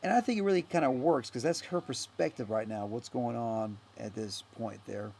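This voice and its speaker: A man giving a casual, unscripted director's commentary as a filmmaker, rambling and halting as he goes, often trailing off. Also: medium pitch, creaky, a little mellow, husky. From United States.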